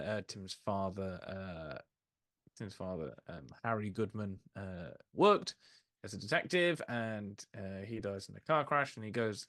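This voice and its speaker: Guy on a film podcast, kinda low voice, really dry sound, rambles through the recap. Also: a bit bright, dry recording. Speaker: podcast host. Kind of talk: casual film discussion with plot recap.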